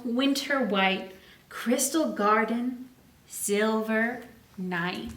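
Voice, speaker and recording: High pitched lady doing story time for kids, asks questions n answers them, roomy echo, mic kinda far away. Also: fairly bright tone. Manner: simple teacherly phrasing, asks and answers own questions. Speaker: children's librarian reading a picture book aloud. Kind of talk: children's story time read-aloud with questions and explanations. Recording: echoey room, distant microphone.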